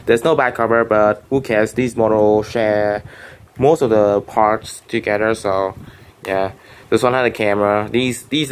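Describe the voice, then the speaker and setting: A man's voice: on the low side, a little hiss or hum. A young man whose first language is Vietnamese, casually rambling through a show-and-tell with many restarts and fillers. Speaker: phone collector vlogger. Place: bedroom at home.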